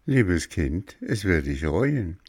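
A man voicing a patient, motherly storybook fairy who admonishes gently in a measured way. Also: low-pitched, sing-song, no room sound, clean studio sound, exaggerated voice. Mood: grave warning. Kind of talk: storybook character voice.

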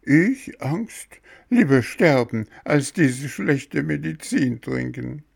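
A man's voice: mid-range voice, lively intonation, a little slow, vocal fry, voice pushed up. A man voicing a stubborn, easily frightened little puppet boy in a storybook, whining and pleading dramatically. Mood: defiant wailing. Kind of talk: storybook character voice.

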